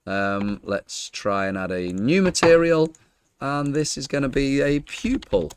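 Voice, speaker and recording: A man with a croaky, half-lost voice, talking through a screen-recorded modeling tutorial in a chatty, jokey way with frequent ums. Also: mid-range voice, a little slow, background noise. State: croaky, losing his voice. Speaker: Blender tutor.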